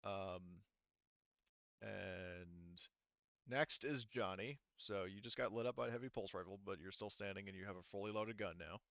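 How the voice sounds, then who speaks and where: Male game master, smooth clean voice, talks players through turns casually, swears freely, dry close sound. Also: on the low side, moderate pace, a bit bright, no room sound, highs cut off. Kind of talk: running a tabletop role-playing game, casual rules talk.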